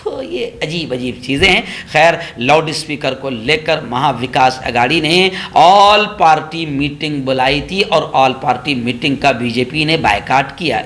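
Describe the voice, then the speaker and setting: A man's voice: a little high, fairly bright tone. A man giving opinionated political news commentary, sarcastic and mocking, repeating phrases for emphasis.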